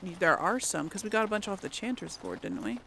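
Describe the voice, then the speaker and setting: A woman's voice: lowish voice, sing-song, fast, vocal fry, pushed and bright. Casual woman gamer with faint background noise. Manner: rambling, thinking aloud. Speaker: gaming host. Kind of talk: casual game commentary, chatting to viewers.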